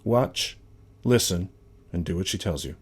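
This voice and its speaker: A man's voice: deep voice, lively intonation, unhurried, slightly rough, full character voice. A man playing a stern, hard-to-impress lawman in a space video game, speaking in a terse, matter-of-fact way. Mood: stern, businesslike. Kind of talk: video game character dialogue.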